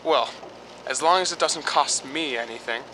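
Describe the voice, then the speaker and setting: A man's voice: a little high, moderate pace, sharp tone. A man playing a grumpy, skeptical, tight-fisted property owner in a radio drama, curt and grudging. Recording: noisy background ambience.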